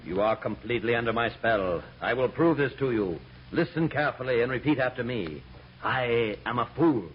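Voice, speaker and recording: An actor playing a pompous, gloating hypnotist with a theatrical, commanding delivery in a radio comedy. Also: male voice, medium pitch, expressive, normal speed, lightly acted. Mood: commanding, sinister. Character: pompous, gloating male stage hypnotist, easily outraged. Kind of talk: mid-century radio comedy acting. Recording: dull, noisy old radio recording.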